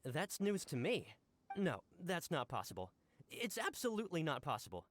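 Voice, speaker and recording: A man's voice: on the high side, huge pitch swings, normal speed, fairly bright tone, a little hiss or hum. A man voicing an eager, flustered male guard in a video game, earnest and apologetic in how he talks. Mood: defensive. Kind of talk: game dialogue. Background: game music.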